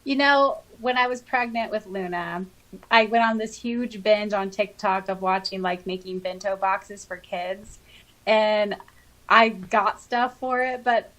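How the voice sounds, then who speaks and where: A woman's voice: mid-range voice, measured pace, fairly bright tone, poor audio. A woman co-hosting a podcast in casual chat, with an informal, giggly, self-deprecating way of talking. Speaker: podcast co-host.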